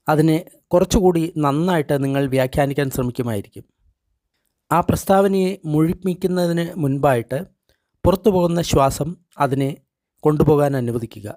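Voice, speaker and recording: A man guiding a meditation, reading instructions gently and deliberately in short phrases with pauses. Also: on the high side, dry recording, studio quality. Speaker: meditation guide. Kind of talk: guided meditation narration, translated text read aloud.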